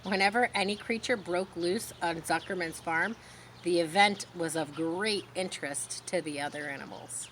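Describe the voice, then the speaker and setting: A woman reading a children's story aloud expressively, pausing to explain words in simple asides. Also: on the low side, a bit bright, a little hiss or hum. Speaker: storybook reader.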